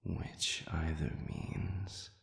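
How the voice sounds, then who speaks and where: A man voicing an ancient, solemn celestial being in an audio roleplay, speaking in a breathy whisper with formal, archaic phrasing and halting pauses. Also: extremely low pitch, level tone, full character voice. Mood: calm, coaxing. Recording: reverberant, echoey sound.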